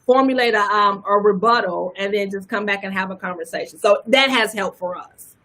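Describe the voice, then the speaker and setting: A woman's voice: mid-range voice, fairly quick, sharp tone. A woman, a married guest, giving thoughtful advice in casual talk. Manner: affirming, thoughtful, many "yes" replies. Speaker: guest wife. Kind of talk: casual conversation.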